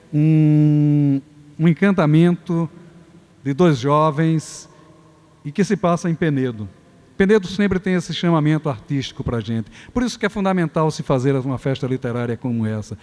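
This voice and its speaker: A Brazilian man giving a formal opening speech as a festival organizer, reflective and lofty, pausing and restarting his phrases. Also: on the high side, a little mellow. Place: theater stage at a live event. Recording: theater hall reverb.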